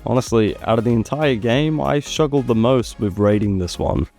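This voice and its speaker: A man narrating scripted gaming ranking commentary in a measured, opinionated, critical way. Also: mid-range voice, gravelly, a little mellow. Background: background music.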